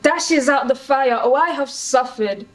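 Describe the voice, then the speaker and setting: Woman as a pleading young daughter, slightly high. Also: measured pace. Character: distressed, compassionate young daughter pleading with her father. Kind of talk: Shakespearean monologue performance. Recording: faint background noise.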